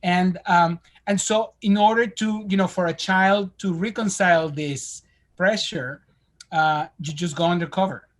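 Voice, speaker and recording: A man's voice: high-pitched, measured pace. A man raised in Venezuela, a native Spanish speaker, telling stories bluntly and with animation as a guest on a casual podcast.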